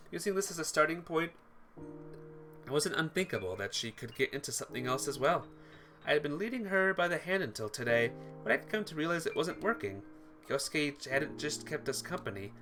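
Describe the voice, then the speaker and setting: A man narrates a visual novel aloud with a slightly high voice and very animated pitch. He reads with some drama and occasionally stumbles and restarts a phrase. Faint music plays behind him. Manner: stumbles over words and rereads them. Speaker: let's player reading a visual novel aloud. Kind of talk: reading game text aloud, dramatised narration. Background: game music.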